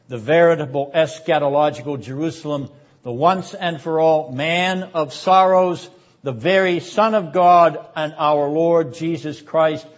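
A man delivering a prayerful, rhetorical sermon lecture in a solemn, incantatory manner, with repeated phrases and deliberate pauses. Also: on the high side, highs cut off. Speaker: seminary dean and Bible teacher.

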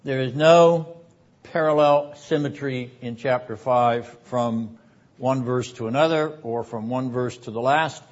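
Slow, deliberate male lecturer, dull recording. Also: mid-range voice. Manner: dramatic, deliberate, emphatic, with rhetorical repetition; frequent throat-clearing. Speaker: seminary academic dean and lecturer. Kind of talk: theology lecture.

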